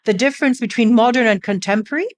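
A woman's voice: fairly high pitch, fast. Woman host asking long questions. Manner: probing, long multi-part questions. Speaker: podcast host. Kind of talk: podcast interview.

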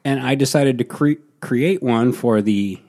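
A man's voice: medium pitch, unhurried, a little mellow. Upbeat man running a tabletop game. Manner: upbeat, guiding, laughs easily. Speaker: co-host and game master. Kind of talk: casual podcast chat.

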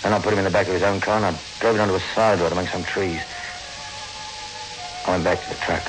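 An actor playing a hard-bitten, slangy fugitive truck driver in a 1960s radio suspense drama. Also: male voice, sits low, steady tone, quick pace, over music, lightly acted. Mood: grim, brooding. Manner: slangy, gruff, narrating and arguing. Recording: very noisy old radio recording.

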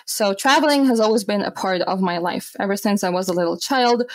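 A woman's voice: mid-range voice, no echo. A young woman talks as an interview guest. She is thoughtful and reflective and drops in "you know" as she goes. Her voice sits in a middle register and sounds dry and close to the mic. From Austria. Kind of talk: conversational interview.

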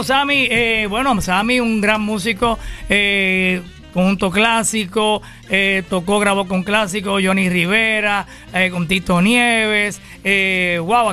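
An energetic Puerto Rican man hosting a radio interview show with enthusiasm. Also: high voice. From Puerto Rico. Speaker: radio host.

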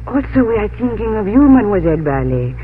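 An actress playing a grave, compassionate French orphanage official with a French accent in a 1950s radio drama. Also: female voice, medium pitch, very animated, quick pace, a little hiss or hum, close to an everyday voice. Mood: grave, cautioning. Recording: tinny, band-limited old radio recording.

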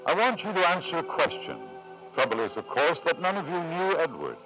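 An actor playing a self-assured, ambitious English businessman and doting father in a 1950s radio drama, measured and persuasive. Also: fairly high pitch, big pitch swings, music in the background. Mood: grave, reflective. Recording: dull, noisy 1950s radio recording.

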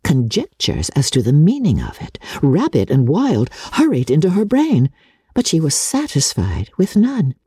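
A woman narrator reads literary fiction with theatrical, measured delivery. Her voice is low and mellow with a widely moving pitch. It is recorded very dry. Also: wildly swooping pitch, breathy edge, no echo.

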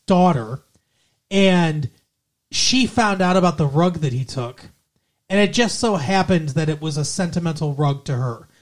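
A man co-hosting a podcast, casually talking through a film's plot and rambling with frequent restarts. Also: a little high. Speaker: podcast co-host. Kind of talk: casual movie review chat.

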